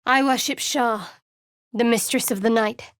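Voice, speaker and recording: An actress playing a guarded, sardonic young priestess of a dark goddess in a video game, with a dry, secretive manner. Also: female voice, fairly high pitch, a little slow, no echo, lightly acted. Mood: solemn, guarded.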